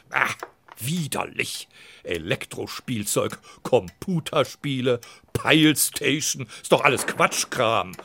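A man's voice: medium pitch, vocal fry, a bit bright, slight background noise, exaggerated voice. A man playing a fussy, indignant old Saint Nicholas in a children's audio play, blustering and muttering pompously. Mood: scornful, smug. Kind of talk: children's audio drama acting.

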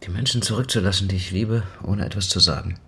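An actor playing a soft-hearted, vulnerable lover in a naturalistic radio drama, with a gentle, hesitant way of speaking. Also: male voice, deep voice, quick pace, vocal fry, breathy edge, full character voice. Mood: pensive, hurt.

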